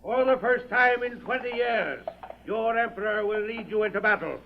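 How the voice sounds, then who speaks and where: A noisy, muffled old radio drama recording with a crowd behind. an emperor rallying knights for battle, his voice pitched extremely high and declamatory. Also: normal speed, gravelly. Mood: solemn, challenging. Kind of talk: 1930s-40s radio drama acting.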